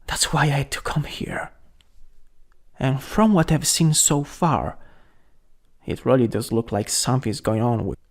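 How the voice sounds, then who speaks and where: A young man acting a caring, worried best friend in an ASMR roleplay, gentle, hesitant and intimate. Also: medium pitch, animated, measured pace, pauses often. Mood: incredulous, teasing.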